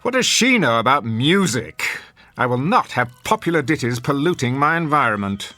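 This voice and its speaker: An actor playing a pompous, sardonic, people-hating theater critic and husband in a radio drama, with an acerbic, theatrical manner. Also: male voice, mid-range voice, big pitch swings, normal speed, pushed and bright. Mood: sardonic, scornful.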